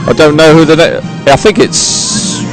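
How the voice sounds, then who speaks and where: Higher-pitched man, hesitant live sports presenter. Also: lively intonation, music in the background. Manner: hesitant, halting ad-libbed delivery. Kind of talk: live unscripted event commentary.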